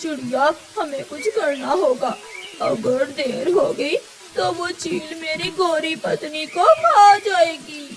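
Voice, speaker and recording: A woman's voice: sits high, animated, slow pace, crowd noise. A woman voicing an anxious male crow in a children's cartoon, urgent and pleading.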